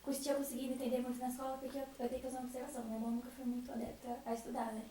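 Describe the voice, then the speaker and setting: A woman's voice: high-pitched, little pitch movement, very fast, crowd noise. A woman with a Brazilian accent telling a casual anecdote in a group chat. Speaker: theatre troupe member. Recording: very echoey room, distant mic, faint noise.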